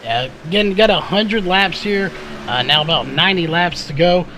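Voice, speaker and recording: A man doing live race commentary in a conversational, analytical way, his voice a little hoarse. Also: sits high, moderate pace, sharp tone, a little hiss or hum, rough recording. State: slightly hoarse, losing his voice. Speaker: sim or short-track race play-by-play commentator.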